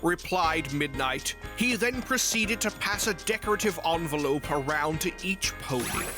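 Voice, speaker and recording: Low-voiced woman narrating a story. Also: animated, normal speed, music in the background.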